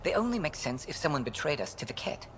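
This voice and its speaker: A man's voice: fairly high pitch, big pitch swings, fast talker, fairly bright tone, a little hiss or hum. A man playing a wry, shrewd retired alien special-forces major in a video game, speaking with dry, frank irony. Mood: frank, intent. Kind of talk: video game character dialogue.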